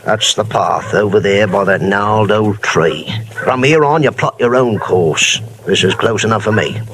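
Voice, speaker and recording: An actor playing a talkative, superstitious old island boat captain in a 1950s radio drama, spinning folksy yarns. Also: male voice, fairly low pitch, normal speed, fairly bright tone. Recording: old radio broadcast with clear hiss.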